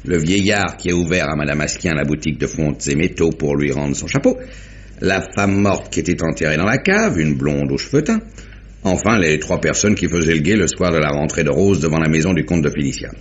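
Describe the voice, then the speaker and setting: Deep-voiced, gruff police inspector. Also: male voice, animated. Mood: brisk, businesslike. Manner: talkative, deliberate summing-up with muttered interjections. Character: brisk, gruff, methodical male police inspector, married. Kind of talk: 1950s French radio mystery acting. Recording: dull, noisy vintage radio recording.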